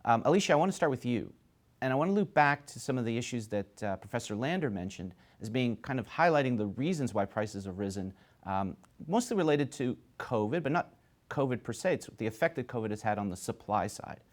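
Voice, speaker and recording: A man moderates a television discussion. His middle-pitched voice moves through explanations in a measured way, like a host guiding a panel. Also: expressive. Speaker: host and moderator of a TV talk show.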